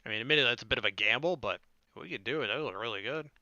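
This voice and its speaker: A man's voice: mid-range voice, very fast, sharp tone, no echo, muffled recording. A young man doing casual gameplay commentary and reading visual novel text aloud. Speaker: let's player. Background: game music.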